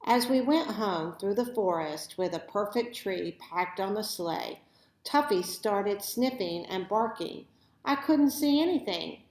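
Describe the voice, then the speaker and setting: Female, children's read-aloud, medium pitch, unhurried slow pace, expressive deliberate phrasing, roomy echo. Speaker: storybook reader. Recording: roomy, echoey sound.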